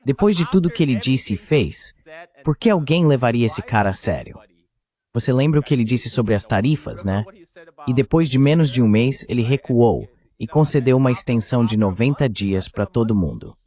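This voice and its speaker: A man's voice: medium pitch, lively intonation, normal speed, warm tone. A man giving translated voice-over political commentary, opinionated and fond of rhetorical questions.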